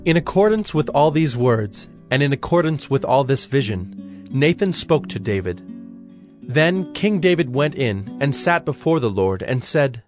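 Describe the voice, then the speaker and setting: A man narrating scripture from a script in a measured, formal way. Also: mid-range voice, lively intonation, soft background music.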